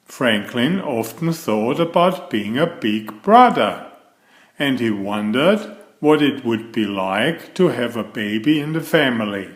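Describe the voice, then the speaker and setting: Slow, lively man reading a storybook, echoey room. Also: medium pitch. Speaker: storybook narrator. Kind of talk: read-aloud children's story narration.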